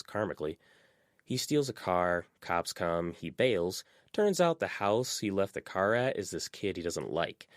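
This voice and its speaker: A man voicing a talkative, sharp-tongued teenage girl who tells stories bluntly. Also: on the low side, no room sound, studio quality. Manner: chatty, blunt storytelling.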